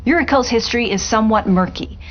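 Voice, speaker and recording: A woman playing a polished television reporter in a video game, delivering a grave special report in a measured, articulate way. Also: on the high side, muffled recording, natural voice. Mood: intrigued, dramatic.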